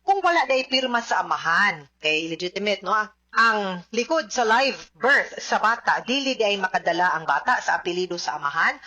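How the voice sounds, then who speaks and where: A woman's voice: on the high side, pushed and bright. A woman with a Cebuano accent hosting a radio advice show, chatty and often checking in with listeners. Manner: chatty, addresses listeners with frequent tag questions. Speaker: radio host and legal adviser.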